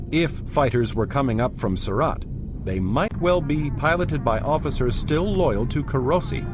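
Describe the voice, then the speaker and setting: A man narrating a dramatized science fiction audiobook in a steady, expressive way. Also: mid-range voice. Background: music.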